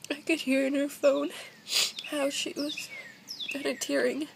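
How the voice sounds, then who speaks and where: A young woman shares something personal in a halting, casual way. Her voice is high with plenty of vocal fry, and faint noise sits behind it in a simple home recording. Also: little pitch movement. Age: young adult. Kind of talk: personal confessional vlog, casual talk.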